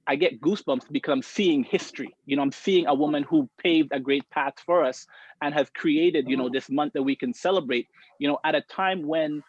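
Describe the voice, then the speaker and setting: A man born in Toronto and raised in the West Indies talks with enthusiasm on a video call, with a voice a little above the usual pitch. His audio is dry and slightly muffled. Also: a little fast, breathy edge, no echo. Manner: warm, enthusiastic, with many fillers. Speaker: panelist. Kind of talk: panel discussion on a video call.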